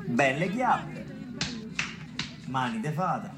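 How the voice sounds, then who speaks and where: An actor playing an earthy, streetwise storyteller with a Roman accent, telling a tale dramatically in radio theatre. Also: male voice, medium pitch, sing-song, takes it slowly, over loud music. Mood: dramatic, earthy. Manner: dramatic, colloquial. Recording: very noisy, clicks.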